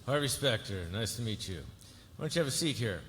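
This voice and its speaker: A man acts out a confident, sardonic male attorney boss at a TV drama table read. His voice is forceful and medium in pitch, carried by long hall reverb with some noise behind. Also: lively intonation, fairly quick, lightly acted. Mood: skeptical. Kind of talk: live table read of a TV script.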